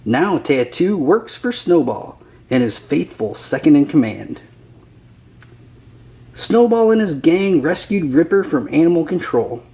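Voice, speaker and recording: A man's voice: on the high side, big pitch swings, measured pace, mellow. A man reading a children's picture book aloud as a scripted narrator.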